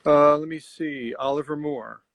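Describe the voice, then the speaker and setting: A man's voice: a little high, fairly even intonation, moderate pace, muffled recording. An older man, a bootmaker, chatting casually in an interview over a video call, rambling and restarting his sentences. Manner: rambling, repeats words and restarts. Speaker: bootmaker and shop owner. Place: home workshop.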